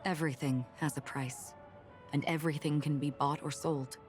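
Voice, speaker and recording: A melancholy, enigmatic swordswoman from a video game, played by a woman. Her voice sits low for a woman and she speaks softly, in measured and solemn phrases, as if telling an old tale. Light music plays underneath. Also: steady tone, lightly acted. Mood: solemn, reflective. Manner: measured, solemn, soft-spoken. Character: melancholy, forgetful swordswoman, calm and enigmatic wanderer. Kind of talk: scripted game dialogue, reflective storytelling.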